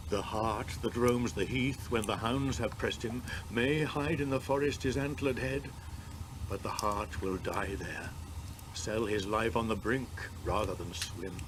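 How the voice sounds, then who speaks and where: An actor voicing a solemn, haunted old king in grief, speaking in a hushed, grave, dramatic way for an epic storybook reading. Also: on the low side, pitched below the performer's own voice. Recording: noisy old cassette transfer.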